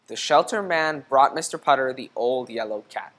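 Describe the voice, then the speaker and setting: Female children's storybook reader, low register, expressive and teacherly, interactive read-aloud delivery. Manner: teacherly, expressive, pausing to ask questions.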